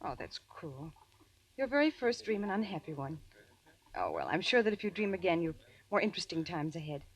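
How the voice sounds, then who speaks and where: An actress playing a loving, anxiously concerned sweetheart with theatrical delivery in a 1940s radio horror drama. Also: female voice, fairly low pitch, a little fast, distant mic. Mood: puzzled concern. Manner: theatrical, expressive, pleading. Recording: vintage 1940s radio recording.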